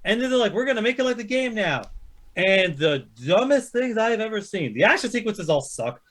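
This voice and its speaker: Fast, high-voiced man ranting bluntly. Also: sing-song, fairly bright tone. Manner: heavily profane, blunt, ranting. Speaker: panelist ranking films on a chat show. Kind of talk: casual opinionated movie chat.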